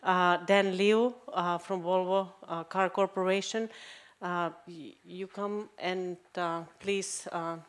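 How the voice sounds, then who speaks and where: Female panel moderator with a lowish voice: polite, slightly halting. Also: fairly even intonation.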